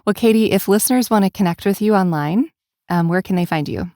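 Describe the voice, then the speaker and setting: A woman's voice: mid-range voice, a little fast, dark tone, no room sound, clean studio sound. A woman hosting a podcast in a conversational style. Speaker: podcast host.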